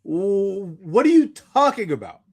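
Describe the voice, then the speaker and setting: A man co-hosting a podcast, telling stories in a casual, animated, rambling way and often stumbling over repeated starts. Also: high voice, unhurried. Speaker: podcast co-host. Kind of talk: casual chat, recounting anecdotes.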